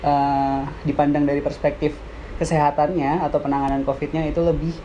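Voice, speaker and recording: A woman in her twenties giving measured, hesitant interview answers, pausing often to think. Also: low-pitched, little pitch movement, mellow, highs cut off, a little hiss or hum. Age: 20s. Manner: measured, hesitant, many "eh" fillers.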